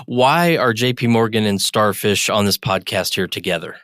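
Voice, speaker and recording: A man's voice: lowish voice, moderate pace, fairly bright tone, dry recording, studio quality. A man hosting an interview podcast, guiding the talk with upbeat questions. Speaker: podcast host.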